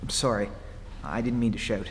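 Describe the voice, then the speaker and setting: A man's voice: fairly high pitch, sing-song, fairly bright tone. Man acting a tormented, melodramatic haunted pianist. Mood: agitated, evasive. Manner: overwrought, halting, melodramatic. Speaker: amateur stage actor. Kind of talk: old-time radio horror play re-enactment. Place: live stage performance in a reverberant hall. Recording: noisy live theatre hall, long reverb.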